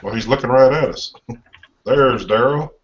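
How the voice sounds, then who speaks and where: A man hosting a casual live show, bantering in a relaxed way with guests and laughing often. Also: mid-range voice, unhurried, lo-fi sound. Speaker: show host.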